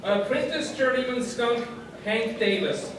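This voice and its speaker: A man's voice: extremely high pitch, unhurried, a bit bright. A man playing an eager, meek junior lodge member, hesitant and deferential, in a comic radio play. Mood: meek, earnest. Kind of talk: comic radio theatre acting. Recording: echoey hall, distant mic, noisy.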